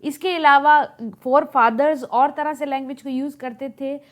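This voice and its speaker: A woman's voice: sits high, fairly even intonation, unhurried. A woman from Pakistan with a Pakistani Urdu accent, explaining linguistics notes as a lecturer, repeating points with examples and slipping in English terms.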